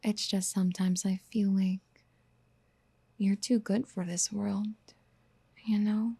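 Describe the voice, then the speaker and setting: Casting: actress as obsessive motherly yandere for ASMR roleplay, very mellow and breathy, slow whispered coaxing, tender and possessive. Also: mid-range voice, level tone, dry recording, heavily acted. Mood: worried, doting. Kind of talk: soft-spoken ASMR roleplay acting. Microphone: close mic.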